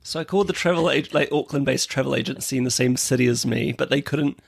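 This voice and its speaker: A man's voice: mid-range voice, quick pace, creaky, dry recording, clean studio sound. A young man with a New Zealand accent co-hosting a podcast, telling stories in a wry, self-deprecating way. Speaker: podcast co-host. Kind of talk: casual chat.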